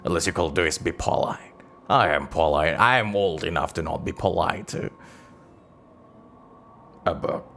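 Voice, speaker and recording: A man's voice: low-pitched, moderate pace, gravelly, long pauses, fairly bright tone, a little hiss or hum, voice pushed down. A man role-playing an aging, money-minded adventurer who mutters and rambles in mild exasperation. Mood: defensive, exasperated. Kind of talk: improvised role-play.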